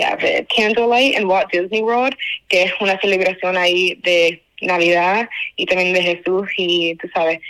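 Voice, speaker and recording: A woman's voice: lowish voice, a bit bright, rough recording. Young woman with a Cuban accent. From Spain, raised in a Cuban family. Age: young adult. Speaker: student award winner being interviewed. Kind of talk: informal interview answers.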